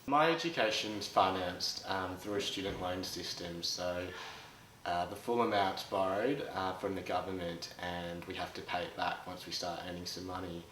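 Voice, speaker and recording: A man's voice: deep voice, moderate pace, a bit bright, slight background noise. A young man with an Australian accent, an exchange student giving easygoing answers in an interview. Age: young adult. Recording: echoey room, mic at a distance.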